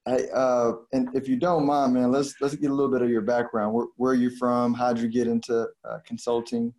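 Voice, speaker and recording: A male podcast host with a mellow, warm-leaning tone keeps his delivery even and fairly flat as he chats. He talks in a relaxed, slangy way, sprinkling in fillers while he moves through questions. The overall feel is easygoing hosting. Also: mid-range voice, fairly quick. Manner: laid-back, slangy, frequent fillers. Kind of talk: casual interview hosting.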